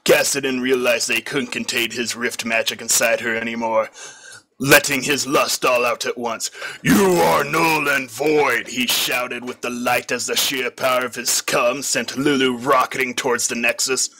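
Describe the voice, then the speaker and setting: Bright, forceful male story reader. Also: mid-range voice, level tone, moderate pace, rough recording. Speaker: fan fiction reader. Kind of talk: scripted comic narration.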